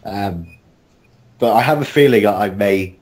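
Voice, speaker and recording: Casting: man with a lowish voice, casual interviewee, hesitant and self-deprecating. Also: measured pace, darkish tone. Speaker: cast member in a group interview. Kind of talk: casual chat.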